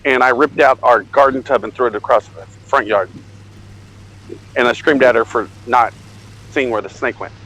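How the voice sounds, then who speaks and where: A man's voice: mid-range voice, moderate pace, long pauses, a bit bright, low-quality recording. A middle-aged man telling a rambling, self-deprecating anecdote in a casual way, acting out bits of dialogue. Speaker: storyteller.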